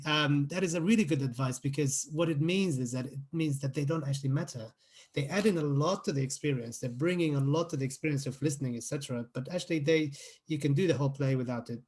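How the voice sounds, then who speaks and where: A man with a medium-pitched voice talks as a guest playwright. He explains things conversationally, repeating himself for emphasis and posing rhetorical questions along the way. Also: a little mellow. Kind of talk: explanatory interview talk.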